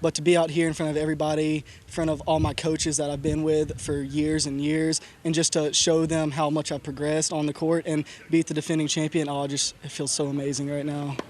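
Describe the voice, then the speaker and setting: A man's voice: fairly high pitch, level tone, brisk, hardly any pauses, a little mellow, slight background noise. A twenty-year-old man, an amateur tennis player, speaking in a post-match interview.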